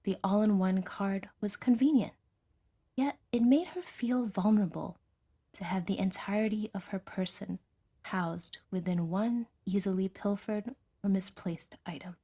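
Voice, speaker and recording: Soft, unhurried woman narrating fiction. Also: medium pitch, breathy edge. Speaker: audiodrama narrator.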